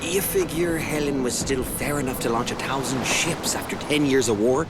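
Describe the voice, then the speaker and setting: A man's voice: mid-range voice, moderate pace, natural voice. A man voicing a cocky, wisecracking soldier in a video game, with a Newfoundland accent and a folksy, sardonic, teasing delivery. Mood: joking. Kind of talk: naturalistic video game acting. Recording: loud sea and boat engine noise.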